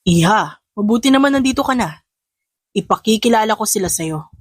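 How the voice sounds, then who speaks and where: A woman's voice: mid-range voice, animated, brisk, breathy edge, no room sound, clean studio sound. A woman voicing a serious, stern middle-aged father who speaks firmly in an audio story. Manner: firm, commanding.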